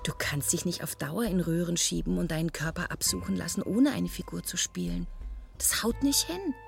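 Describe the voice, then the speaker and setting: A woman's voice: on the low side, normal speed, vocal fry, soft background music. An actress in a German radio play playing a troubled, argumentative woman who reasons insistently and emphatically. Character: troubled, argumentative woman worn down by doctor visits.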